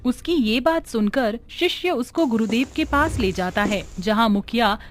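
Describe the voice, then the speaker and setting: A woman's voice: fairly high pitch, lively intonation, moderate pace. A woman reading scripted horror storytelling narration as the story's narrator. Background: background music.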